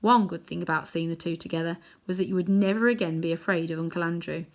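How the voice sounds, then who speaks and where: A woman reading a children's novel aloud in chapters, adding gentle asides to her listeners. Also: on the low side, fairly even intonation, fast. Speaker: storybook reader.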